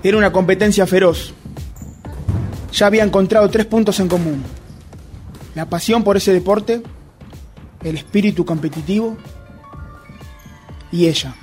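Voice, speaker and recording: High-voiced obsessive, jealous man played by an actor. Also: a little mellow, faint music behind, close to an everyday voice. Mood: intense. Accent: Rioplatense Argentine Spanish. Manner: brooding, deliberate, introspective. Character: obsessive jealous man confessing his secret pursuit of a rival. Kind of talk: radio drama narration and dialogue.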